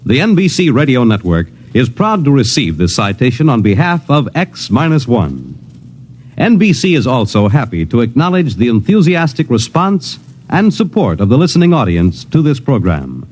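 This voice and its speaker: A man announcing for a radio network in a formal, measured, dramatic 1950s style. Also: mid-range voice, huge pitch swings, darkish tone. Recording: old radio recording, faint noise.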